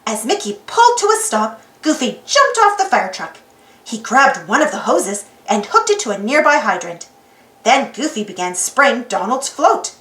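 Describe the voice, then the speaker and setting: High, animated woman reading a storybook. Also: moderate pace. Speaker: storybook reader. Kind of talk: animated read-aloud children's narration. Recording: roomy, slightly distant mic.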